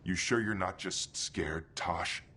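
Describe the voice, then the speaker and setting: A man's voice: deep voice, slow, sharp tone, slight background noise, heavily acted. A man playing a boastful, reckless treasure hunter in a fantasy video game, theatrical and bragging. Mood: indignant, sulky. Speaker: voice actor. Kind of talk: video game cutscene acting.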